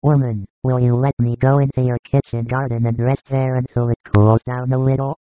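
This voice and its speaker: A man playing a gentle, grave religious teacher in a scripted audiobook drama, speaking in a measured, consoling way. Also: mid-range voice, level tone, dry recording, lightly acted. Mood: gentle, calm. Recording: dry, narrow phone-like sound.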